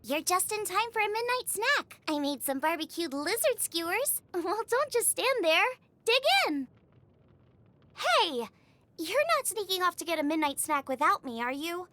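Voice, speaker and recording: A woman voicing a bubbly, eager, food-obsessed young woman chef in an expressive video game style, chatty and full of exclamations. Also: very high-pitched, moderate pace, no echo, heavily acted. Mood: cheerful, inviting. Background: music.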